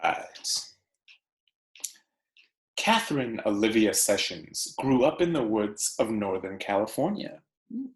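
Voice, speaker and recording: A man teacher reading aloud to children, with deliberate storybook phrasing and casual delivery. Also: fairly low pitch, very animated, reverberant, rough recording.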